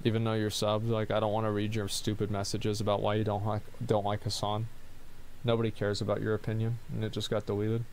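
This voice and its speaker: A tired man in his thirties streaming, reading viewer questions and answering in a rambling monotone full of fillers and restarts. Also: lowish voice, a little fast, a little hiss or hum.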